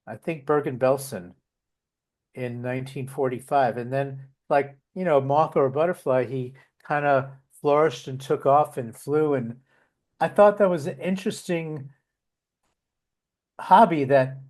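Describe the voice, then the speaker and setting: A man's voice: a little high, darkish tone. A man, a guest volunteer, talking casually in an interview, with a rambling delivery full of fillers and restarts.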